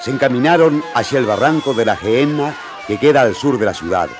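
A man's voice: mid-range voice, lively intonation, a little fast. A man narrating a radio drama as a vivid, dramatic storyteller. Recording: crowd noise behind.

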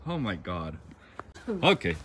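A man's voice: sits low, big pitch swings, slow. A man chatting casually and informally to the camera as a vlogging builder, with folksy, unscripted phrasing. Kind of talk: casual vlog chat to camera. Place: outdoors at a dock and on the water. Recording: very noisy outdoor boat and engine noise.

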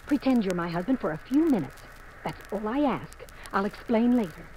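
A woman's voice: mid-range voice, lively intonation, normal speed, darkish tone, natural voice. An actress in a 1950s radio drama playing an exotic young woman who pleads urgently with a stranger. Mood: desperate insistent. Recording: noisy old 1950s radio recording.

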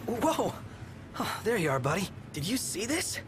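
A man playing an excitable, friendly young sidekick in a video game, eager, boyish and casual. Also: sits high, unhurried, background noise. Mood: excited.

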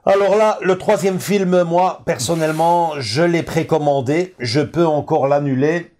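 Mellow-voiced man, halting chat. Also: fairly high pitch. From Belgium. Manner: hesitant, many fillers and restarts. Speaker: host. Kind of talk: casual chat about film collecting. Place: living room, indoors.

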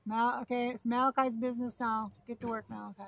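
A woman behind the camera in a backyard, casually coaching and gently teasing children through a pretend game. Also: on the high side, level tone, quick pace, gravelly, noisy background. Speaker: parent filming children at play. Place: backyard, outdoors. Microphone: handheld camera, speaker behind it.

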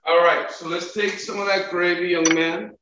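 A man's voice: sits high, steady tone, moderate pace, sharp tone, echoey room, dull audio, a little hiss or hum. A middle-aged man, a chef, leading a cooking demo and checking in with his student over a video call. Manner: encouraging, coaching with frequent "okay?" and "right?" checks. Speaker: chef instructor.

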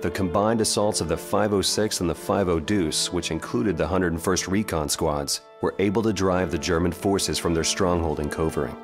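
A grave, low-voiced war narrator, played by a man, delivers scripted history with measured solemnity. The voice sits deep, with music playing underneath. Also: lightly acted.

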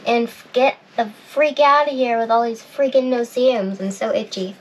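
A woman's voice: on the high side, steady tone, moderate pace, rough recording. A woman in her thirties chatting and teasing in a casual way aboard a sailboat. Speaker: sailing vlogger. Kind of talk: casual conversation. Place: sailboat cabin.

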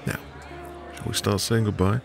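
A man playing a tender, devoted newlywed husband in a soft, intimate, romantic ASMR roleplay with lingering pauses. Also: lowish voice, little pitch movement, gravelly. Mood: affectionate. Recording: music behind the voice. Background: music.

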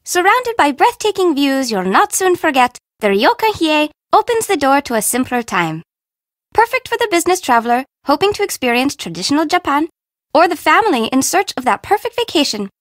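A woman's voice: sits high, big pitch swings, brisk, dry recording, studio quality. A woman playing a perky, sassy young Japanese bento shop owner in a video game, with an informal, teasing, sarcastic manner. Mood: sales patter. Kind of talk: video game character voice acting.

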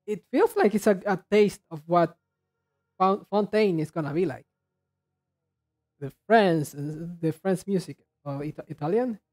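A man giving casual commentary as a reaction video host, rambling haltingly and often repeating and restarting his words. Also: sits high, darkish tone. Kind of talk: casual commentary on game trailers.

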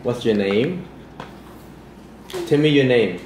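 A man's voice: medium pitch, expressive, background noise. A man, patiently chatting at home and tutoring his son at the table. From Thailand. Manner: patient, quick agreeing fillers. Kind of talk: casual home chat and English practice. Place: indoors at a home dining table. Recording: echoey home room with music.

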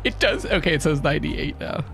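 A man's voice: fairly high pitch, brisk, creaky. A Canadian man commentating as he plays a hockey video game, thinking aloud and yelping at the plays. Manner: excitable, thinks aloud, laughs and yelps at plays. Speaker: gaming channel host. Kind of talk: let's play commentary. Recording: game music playing behind.

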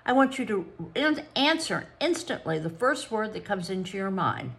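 A woman putting on a prim, spiteful lady psychologist. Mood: condescending. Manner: brisk, schoolmarmish, turns shrill when excited.

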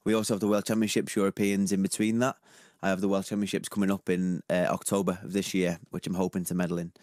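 A man's voice: low-pitched, fast, dry recording. A man in his twenties with a Liverpool accent, a guest talking openly and easily about his life in a radio studio interview. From Liverpool, England. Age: 20s. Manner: open, relaxed, laughs easily. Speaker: guest athlete. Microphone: studio microphone.

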